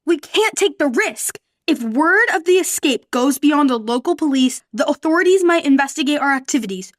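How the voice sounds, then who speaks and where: A woman's voice: high-pitched, lively intonation, moderate pace. A woman playing a scheming, bossy, spiteful orphanage mistress in a radio play. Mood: scheming, commanding.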